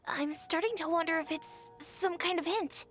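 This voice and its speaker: Casting: a wondering townswoman, high voice, game music behind. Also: normal speed. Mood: puzzled. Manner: musing, wondering. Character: puzzled townswoman with recurring dreams. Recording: game audio with background music.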